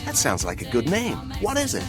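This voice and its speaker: A man's voice: fairly high pitch, wildly swooping pitch, a little fast, music in the background. A man voicing an eager young robot newcomer in a video game, wide-eyed and courteous. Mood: curious. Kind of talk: scripted video game cutscene acting.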